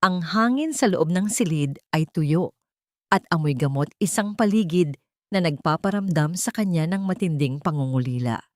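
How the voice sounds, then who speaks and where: Woman, lowish pitch, scripted story narration, solemn and melodramatic, dry sound. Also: animated, normal speed, dry recording.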